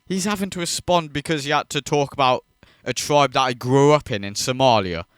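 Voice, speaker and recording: A man's voice: medium pitch, brisk, a bit bright, clear, resonant tone, no room sound, studio quality. A man hosting a reaction video, ranting in disbelief and swearing freely as he mocks what he watches. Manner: incredulous, profane, mocking.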